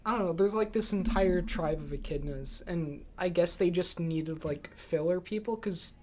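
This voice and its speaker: A man's voice: high-pitched, moderate pace, darkish tone. A young man with an American accent talks informally as a podcast co-host. His delivery is casual and conversational, and there is faint ambient noise in the recording. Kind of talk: casual chat.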